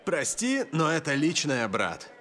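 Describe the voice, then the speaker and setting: A man voicing a cool, confident young football star in a cartoon dub, smooth and laid-back. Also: a little high, huge pitch swings, measured pace, a bit bright, exaggerated voice. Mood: relaxed, private. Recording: music behind the voice.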